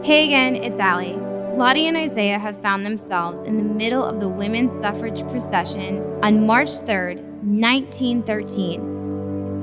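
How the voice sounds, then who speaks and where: A woman hosting and narrating scripted history for a young audience in a clear educational style. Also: medium pitch. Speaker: host and narrator. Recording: prominent music behind.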